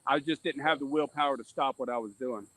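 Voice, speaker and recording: A man's voice: medium pitch, fast, fairly bright tone, dry recording, rough recording. A middle-aged man telling his own story as a podcast guest, earnest and candid, circling back and repeating his points. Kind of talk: candid personal testimony in conversation.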